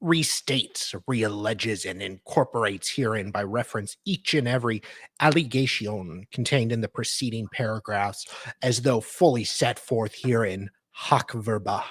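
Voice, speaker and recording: A man co-hosting a talk stream, reading court documents formally in a measured, deliberate way, with some casual chat. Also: lowish voice, a bit bright, slightly rough, dry recording. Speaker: co-host and reader of legal documents.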